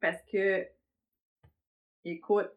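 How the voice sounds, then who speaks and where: A woman's voice: medium pitch, level tone, drawn-out words, narrow, tinny audio. A middle-aged woman with a Québécois French accent, telling her personal story as host in a hesitant way full of fillers. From Quebec, south shore of Montreal. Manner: hesitant, many fillers, laughs often. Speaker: podcast host, therapist.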